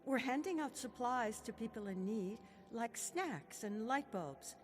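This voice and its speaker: A woman's voice: fairly high pitch, lively intonation, a little slow, slight background noise. An actress playing a friendly, encouraging young woman in a radio drama, upbeat and persuasive.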